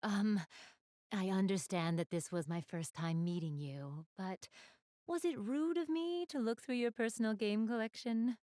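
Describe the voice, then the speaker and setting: A woman's voice: medium pitch, unhurried, no echo, clean studio sound, lightly acted. A woman voicing a polite, ladylike young goddess in a video game, speaking formally and graciously to the player. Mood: enthusiastic, slightly embarrassed. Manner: formal, refined, gently ladylike phrasing.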